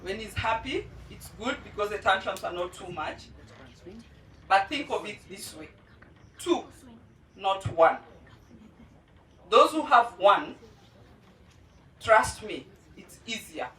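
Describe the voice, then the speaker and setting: A mother of two special-needs children giving a heartfelt personal testimony at a charity launch, earnest and pausing often. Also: mid-range voice, sing-song, a bit bright, recorded from a distance, slight background noise. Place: indoor event venue. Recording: roomy hall, voice from off-mic.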